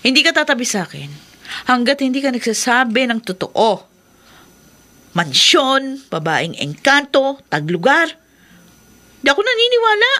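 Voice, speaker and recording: A nagging suspicious wife in a radio soap, bright cutting voice, highish, mocking, bossy. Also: female voice, big pitch swings, unhurried, pauses often, full character voice. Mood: angry, mocking. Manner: sharp, mocking, dismissive. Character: scornful, suspicious, domineering wife berating her husband. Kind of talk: radio drama acting.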